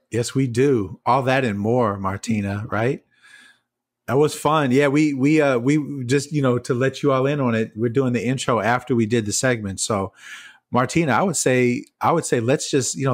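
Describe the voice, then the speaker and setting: A man's voice: mid-range voice, steady tone, normal speed, no echo, clean studio sound. A man hosting a podcast, upbeat and encouraging in a casual chat. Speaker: podcast host.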